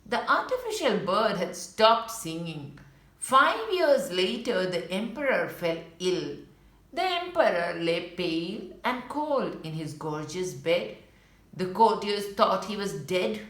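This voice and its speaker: A woman reads a children's storybook aloud with a lively, swooping melody to her voice. She works as a storyteller, adding small asides about the pictures. The recording is roomy and echoing. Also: medium pitch. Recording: roomy, echoing indoor sound.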